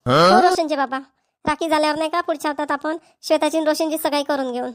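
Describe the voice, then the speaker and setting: A woman's voice: sits high, lively intonation, quick pace. A woman voicing a chatty, persuasive middle-aged mother in a cartoon family comedy, with a Varhadi Marathi accent. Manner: coaxing, repetitive.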